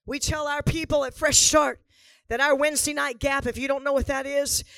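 A hoarse woman pastor preaching a revival sermon in a church, repeating lines for emphasis and building to shouts. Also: sits high, little pitch movement, normal speed, dry recording. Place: church sanctuary before a live congregation.

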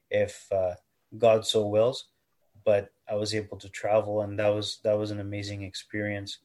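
Earnest young Canadian man. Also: on the low side. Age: 20s. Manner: earnest, measured, self-correcting. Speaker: interviewee. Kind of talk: reflective personal testimony.

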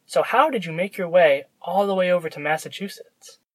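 A man hosting a podcast, reading a scripted introduction and asking interview questions in a measured, read-aloud way. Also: sits high. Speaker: podcast host. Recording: music playing behind the voice. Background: guitar music.

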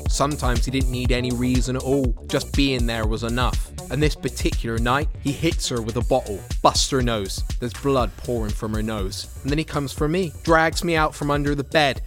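A man's voice: medium pitch, normal speed, few pauses, music in the background, natural voice. Actor as a haunted young homeless man. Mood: bitter, haunted. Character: wounded young homeless man recounting a brutal childhood. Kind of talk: audio drama monologue.

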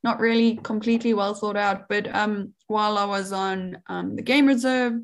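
Creaky South African young woman. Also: mid-range voice, fairly even intonation, moderate pace. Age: young adult. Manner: chatty, laughs often, many fillers. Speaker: bat researcher guest. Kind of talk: casual interview chat.

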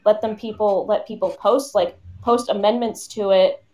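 A woman's voice: mid-range voice, steady tone, normal speed, dark tone. A woman with a Massachusetts accent co-hosting a casual podcast, talking earnestly with many restarts. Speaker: podcast co-host. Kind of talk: casual group banter.

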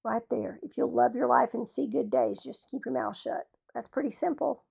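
A Southern American woman hosts an informal Bible teaching show. Her voice is fairly high but very gravelly and husky. She talks in a folksy, homespun way. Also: sing-song, normal speed, darkish tone. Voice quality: rough, hoarse. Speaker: radio Bible teacher and host.

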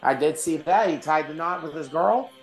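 A man co-hosting a casual wrestling podcast, hoarse from losing his voice, laid-back and swearing casually. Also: on the high side, normal speed, roomy sound, over music. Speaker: podcast co-host. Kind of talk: casual wrestling news chat.

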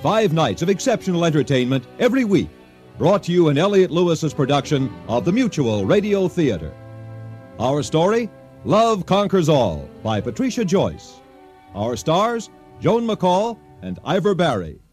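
Animated, higher-voiced man announcing radio theater. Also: normal speed, faint music behind.

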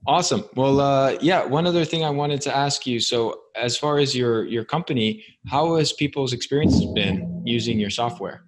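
A man's voice: medium pitch, moderate pace, few pauses. A man hosting a podcast interview, chatting in a friendly, easygoing way and often calling his guest "man". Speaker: podcast host. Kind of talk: casual interview.

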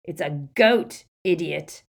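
Woman putting on a bellowing, gruff old barman. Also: on the low side, unhurried. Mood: bellowing, angry. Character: gruff, defiant old male pub barman, quick-tempered.

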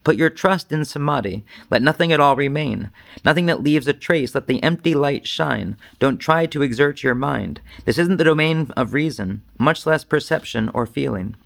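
Higher-voiced man giving an informal dharma talk. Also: animated, moderate pace, creaky, a bit bright. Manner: self-deprecating, rambling. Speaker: Zen teacher.

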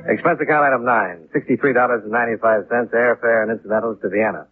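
An American man playing a terse, world-weary insurance investigator in a 1950s radio detective drama, speaking in a clipped, deadpan way. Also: medium pitch, fast, over loud music, natural voice. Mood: dry, businesslike. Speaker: lead radio actor and narrator. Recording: muffled, narrow-band vintage 1950s radio.

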